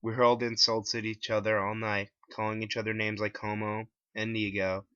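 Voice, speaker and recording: Guy reading his own story out loud, crisp forceful tone, dry kinda muffled home mic. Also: fairly low pitch, little pitch movement, normal speed, no echo. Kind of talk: dramatic first-person story narration. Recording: dull lo-fi dry home recording.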